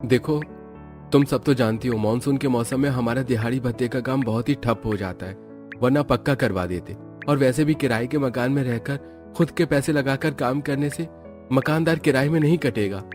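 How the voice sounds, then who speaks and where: A man voicing a mild-mannered village labourer, the eldest son in a cartoon story, reasoning softly. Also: medium pitch, moderate pace, soft background music, lightly acted. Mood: gentle, resigned. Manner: soft, reasoning. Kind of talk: Hindi cartoon story acting.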